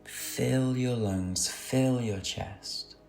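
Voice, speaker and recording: A man leading a guided meditation with gentle, soothing, unhurried instruction. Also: mid-range voice, gravelly. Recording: soft music under the voice. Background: music.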